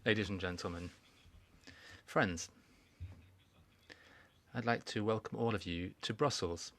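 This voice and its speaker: Slow, low-voiced man giving formal remarks. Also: fairly bright tone. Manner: measured, formal address with pauses and restarts. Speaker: conference speaker. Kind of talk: interpreted welcoming speech. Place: conference hall.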